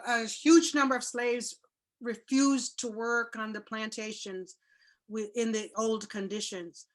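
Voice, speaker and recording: A woman telling a personal story in casual chat over a video call, with a loud, chatty way of talking. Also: high voice. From Jamaica. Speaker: guest speaker.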